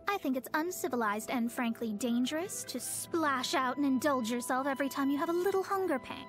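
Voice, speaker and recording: A woman's voice: high voice, fairly bright tone. An actress voicing a proud, haughty young woman astrologer in a video game, speaking in a formal, pompous, theatrical way. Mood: opinionated. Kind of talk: scripted video game character voice lines.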